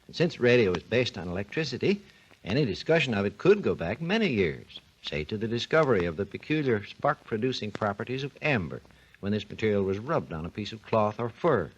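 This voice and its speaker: A man, a research engineer and guest, explains his subject in a measured, lecturing way on a scripted 1940s radio talk program. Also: on the low side, big pitch swings, husky, lo-fi sound.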